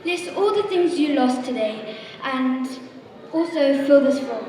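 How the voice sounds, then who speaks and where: Heavy echo and distance from the microphone in a large hall. A woman acts a junior police constable on stage, high in pitch, formal and businesslike. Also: expressive, unhurried, dark tone. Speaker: amateur actor in a school stage play. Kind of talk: amateur stage play acting. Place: large echoey indoor hall, far from the mic.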